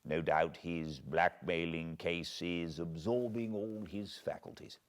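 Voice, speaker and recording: A British man reading a classic novel aloud as a narrator, measured and expressive, at home beside a fireplace. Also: sits low. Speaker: audiobook narrator. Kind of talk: unabridged audiobook reading.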